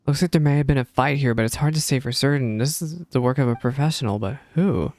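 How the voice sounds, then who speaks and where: A woman's voice: very deep voice, fast talker, dark tone. A woman streamer giving casual reactions to a video game and reading on-screen text aloud.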